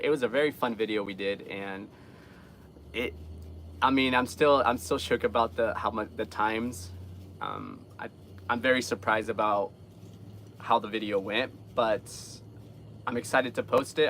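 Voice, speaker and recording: A man's voice: lowish voice, fairly bright tone, slight background noise. A young man from Guatemala chatting casually with live viewers in an earnest, rambling, informal way. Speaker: live streamer.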